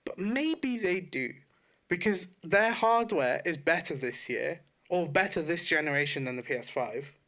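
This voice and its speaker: A man with a fairly high, very bright voice talks in a casual podcast style. He is informal and loose-tongued, often rephrasing himself as he makes his point. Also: lively intonation, a little slow. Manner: informal, sweary, self-correcting. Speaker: podcast co-host. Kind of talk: casual opinionated gaming podcast chat.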